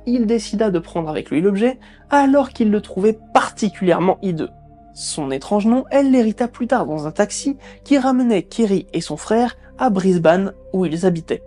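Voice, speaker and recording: Mellow-voiced woman narrating an occult story. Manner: lively, sarcastic asides with squeaky put-on voices. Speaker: host.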